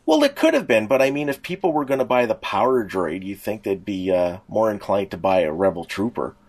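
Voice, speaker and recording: A middle-aged man talks as a podcast co-host in a fairly low voice. He explains things informally, pausing and restarting as he thinks aloud. The feel is relaxed conversation, with nothing scripted. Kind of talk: casual explanatory chat.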